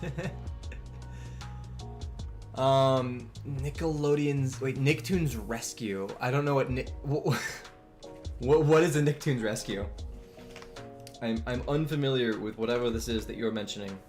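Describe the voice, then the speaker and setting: A man's voice: mid-range voice, takes it slowly, long pauses, darkish tone. A man in his twenties chatting casually on a live art stream, rambling with many fillers and restarts. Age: 20s. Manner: rambling, frequent fillers and restarts, chuckles often. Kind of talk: casual live-stream chat while drawing. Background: faint background music.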